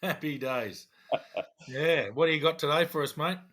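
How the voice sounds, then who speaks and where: A middle-aged man, a former cop co-hosting a podcast, explaining things in a measured way. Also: fairly high pitch, a bit bright. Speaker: podcast co-host, former police officer. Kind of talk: casual chat.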